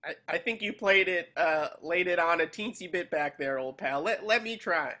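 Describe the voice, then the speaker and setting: A man's voice: fairly high pitch, moderate pace, pushed and bright. A man voicing an earnest, eager, boyish cartoon sea sponge in a table read, coaxing as he talks. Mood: hopeful.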